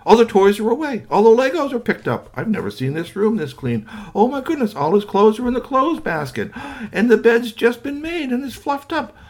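A man voicing a mother scolding her young son, with high, shrill exclamations. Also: lively intonation, fairly quick, a little mellow, slightly rough. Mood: astonished.

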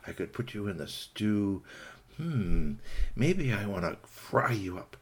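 A sly, gloating fox villain from a folktale, acted out by a male storyteller. The voice is husky and swoops widely in pitch, lingering on long, hungry hums as he muses. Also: big pitch swings, exaggerated voice. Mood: hungry, savoring. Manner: drawn-out musing, savoring hums. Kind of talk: animated oral folktale storytelling.

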